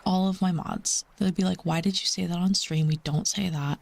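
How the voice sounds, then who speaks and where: A woman's voice: on the low side, fairly even intonation, normal speed, gravelly, darkish tone. Chatty woman streamer rambling casually. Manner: chatty, rambling, calls herself Mama. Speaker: livestreamer. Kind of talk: casual livestream chat with viewers.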